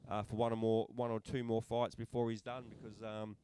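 A man's voice: lowish voice, little pitch movement, a little fast, dark tone, slight background noise. A middle-aged man co-hosting a podcast, recapping fights in casual, enthusiastic chat. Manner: enthusiastic recaps, many restarts and fillers. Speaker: podcast co-host.

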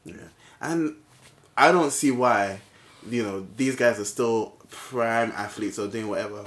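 A man in his late twenties chatting casually on a podcast, animated and quick to laugh. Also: medium pitch. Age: 20s. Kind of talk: casual chat. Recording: echoey room with faint noise.

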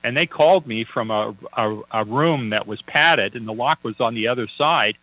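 A man's voice: mid-range voice, normal speed, sharp tone, no echo, low-quality recording. A man working as a financial advisor, chatting on a radio talk show in a folksy, anecdotal way with many fillers and restarts.

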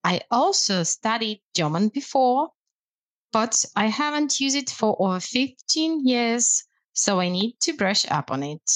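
A woman whose first language is Russian, a language lecturer explaining learning tips in a measured way on a podcast. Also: a little high, very animated, no room sound. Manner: measured, explanatory, with some hesitant fillers.

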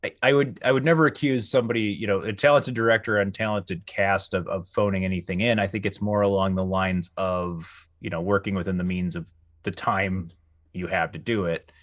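A man's voice: sits low, fairly even intonation, fairly quick, fairly bright tone, no room sound, studio quality. A man co-hosting a casual review podcast, speaking tentatively and hedging his opinions as he goes. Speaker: podcast host. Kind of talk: casual panel review chat.

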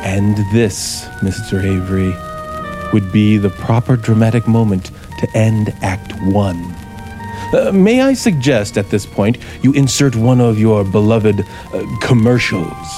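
A man's voice: fairly low pitch, expressive. An actor playing an embittered scriptwriter in a 1950s radio thriller, theatrical and mockingly polite as he coolly plots murder. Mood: coolly menacing. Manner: theatrical, deliberate, mockingly polite, narrating his own actions. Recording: vintage 1950s radio broadcast with music.

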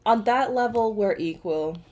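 A young woman co-hosting a casual chat podcast, relaxed and a little hesitant, often pausing on fillers. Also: on the high side. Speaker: podcast co-host.

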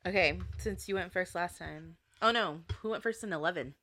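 A woman's voice: lowish voice, normal speed, sharp tone. A woman co-hosting a podcast in casual chat, laughing often and talking with friends. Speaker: podcast co-host.

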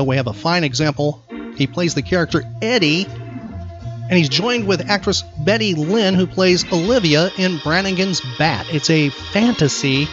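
A man hosting a podcast, switching between quiz questions and casual chat. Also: on the high side. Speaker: podcast host. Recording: slightly dull audio, music behind. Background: background music.

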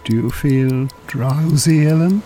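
An actor playing a smooth, calculating Irish con-artist hypnotist in an audio drama, soothing and commanding in a deliberate way. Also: male voice, mid-range voice, warm tone, faint music behind, natural voice.

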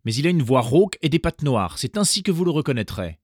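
A man's voice: fairly high pitch, big pitch swings, fast talker, a little mellow, slightly rough, no echo, studio quality, pitched above the performer's own voice. A man voicing a caring mother goat warning her little ones. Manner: anxious, warning.

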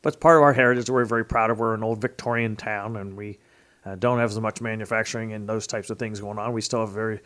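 A man with an American accent and a somewhat low voice, in his fifties. He speaks conversationally, like a local politician telling stories in an interview. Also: fairly even intonation, fast talker, fairly bright tone. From Pennsylvania, United States. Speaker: state legislator being interviewed.

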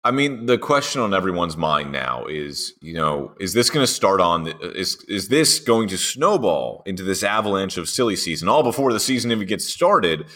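A man's voice: low-pitched, big pitch swings, moderate pace, few pauses, fairly bright tone. A man co-hosting a motorsport podcast, chatting casually and riffing playfully. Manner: playful, riffs with mock dialogue. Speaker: podcast co-host. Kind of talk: casual chat.

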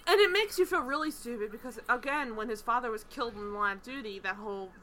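A woman chatting casually about a TV show, animated and rambling, laughing often and swearing. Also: on the high side, fast talker, crowd noise. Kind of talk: casual chat recapping a TV show.

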